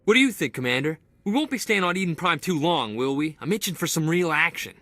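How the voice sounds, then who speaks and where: An actor playing an eager, restless young male corporal in a video game cutscene, talking in an excitable, boyish way. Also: on the high side, fast, no room sound. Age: young adult.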